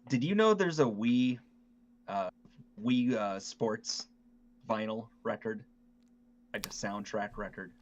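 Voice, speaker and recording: Low-pitched male co-host, slightly dull audio. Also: slow, pauses often. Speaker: podcast co-host. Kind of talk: casual chat.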